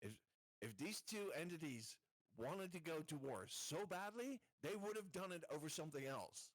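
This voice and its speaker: A man's voice: fairly high pitch, lively intonation, normal speed, no room sound, low-quality recording. A man playing a tabletop game, arguing casually in a blunt, insistent way. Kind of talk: casual argumentative table talk.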